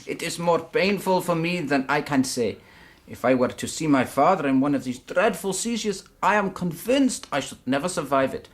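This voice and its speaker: Actor as formal young nobleman, highish voice. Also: expressive, moderate pace, close to an everyday voice. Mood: solicitous, earnest. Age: young adult. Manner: formal, earnest, over-polite. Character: solicitous, formal young Russian nobleman's son. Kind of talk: radio drama acting.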